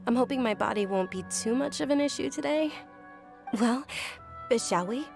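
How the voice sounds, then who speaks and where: A higher-voiced, gracious young lady. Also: lively intonation, normal speed, gravelly. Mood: cheerful, grateful. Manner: polite, softly explanatory. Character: gentle, gracious young noblewoman, frail yet cheerful and devout. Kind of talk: video game character acting. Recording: music behind the voice.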